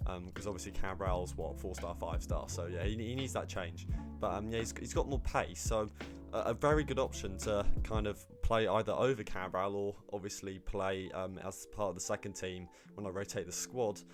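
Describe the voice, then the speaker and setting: A man, slightly hoarse, rambling casually about his football game squad with lots of ums and restarts. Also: low voice, fairly even intonation, normal speed, over music. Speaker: gaming YouTuber.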